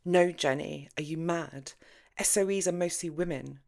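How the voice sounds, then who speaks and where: A woman's voice: deep voice, normal speed, creaky, darkish tone. A woman narrator voicing a grave, worried and disapproving female friend in an audiobook, earnest and cautioning. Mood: exasperated warning.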